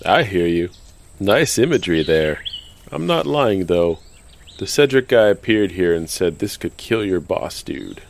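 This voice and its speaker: A man's voice: deep voice, animated, a little slow, gravelly, exaggerated voice. An actor playing a laid-back male gunman who answers threats with dry, sarcastic quips. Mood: wry. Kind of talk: audio drama acting.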